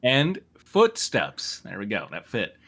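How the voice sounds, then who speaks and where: A man's voice: lowish voice, huge pitch swings, takes it slowly, fairly bright tone, breathy edge. A man narrating a story aloud for comedy, wry and amused, slipping into casual asides. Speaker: narrator and reader. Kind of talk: comic fan fiction reading with casual asides.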